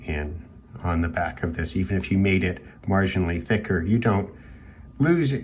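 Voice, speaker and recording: A man with a low-pitched voice talks through a product review informally. His delivery is measured and thoughtful, with hesitant pauses as he goes. Noticeable noise sits behind his voice. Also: animated. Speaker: radio hobbyist reviewer. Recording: clearly noisy background hiss.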